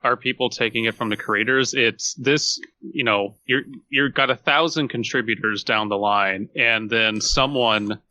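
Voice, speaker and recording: Guy chatting on a podcast, really bright forceful voice, explains stuff step by step. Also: mid-range voice, unhurried, few pauses, rough recording. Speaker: podcast co-host. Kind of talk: casual podcast discussion.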